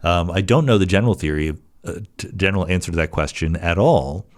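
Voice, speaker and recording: A man's voice: deep voice, lively intonation, fairly quick. A middle-aged man hosting a podcast, answering listener questions in a conversational way with frequent fillers and restarts. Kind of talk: solo Q&A podcast, thinking aloud.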